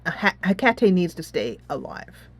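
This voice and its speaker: A woman's voice: on the low side, sing-song, a little slow, slightly rough, dry recording. A woman gaming host giving casual commentary, thinking aloud as she plays a tactics game.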